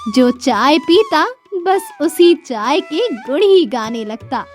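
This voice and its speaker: A woman narrates a children's story in a high-pitched voice. She goes slowly and deliberately, in a scripted storytelling style. Music plays behind her. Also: very animated.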